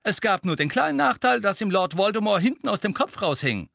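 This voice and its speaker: A man voicing an angry teenage schoolboy in an audiobook, loud, blunt and defiant. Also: high-pitched, sing-song, fairly quick, gravelly, no room sound, clean studio sound, voice pushed up, full character voice.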